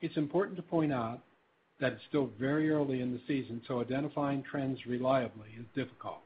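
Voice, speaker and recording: A man reading scripted prepared remarks as a company executive on a conference call, in a formal, measured business delivery. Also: medium pitch. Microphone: telephone. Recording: tinny phone-line conference call with faint noise.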